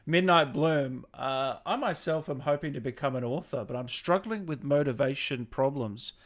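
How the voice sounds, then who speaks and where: A man's voice: medium pitch, moderate pace. A man hosting a casual interview over a video call, chummy and encouraging as he chats and reads out questions. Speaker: interviewer, host.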